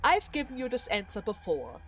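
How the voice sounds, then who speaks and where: A woman voicing a manipulative older male duke in a dramatic game story, speaking in a measured, formal and condescending way. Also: a little high, wildly swooping pitch, fairly bright tone, soft background music. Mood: calmly condescending. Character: scheming older male duke, coolly manipulative adoptive father.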